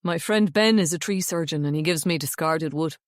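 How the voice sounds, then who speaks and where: A woman voicing a gentle young man, kind and grieving, speaking softly. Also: deep voice, moderate pace, no echo, clean studio sound, pitched below the performer's own voice, close to an everyday voice. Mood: relaxed, chatty.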